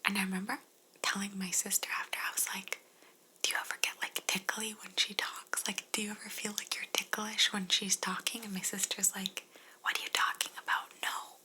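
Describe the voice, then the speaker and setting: A woman speaking in a breathy whisper, chatting casually and gently as she answers questions in an ASMR style. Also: medium pitch, flat delivery, brisk, rough recording. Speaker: ASMR video creator answering viewer questions.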